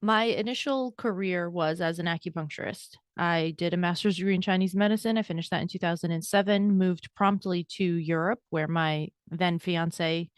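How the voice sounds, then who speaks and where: Candid, brisk woman telling her story. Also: on the low side, smooth, no echo, clean studio sound. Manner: candid, brisk, self-deprecating. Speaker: guest, burnout coach and speaker. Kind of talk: podcast interview, conversational storytelling.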